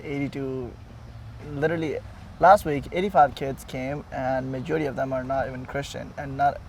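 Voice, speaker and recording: A man's voice: medium pitch, steady tone, moderate pace, creaky, a little mellow, slight background noise, poor audio. A young man from Nepal chatting casually and a little hesitantly as an interview guest. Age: young adult. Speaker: student and Bible worker, interview guest.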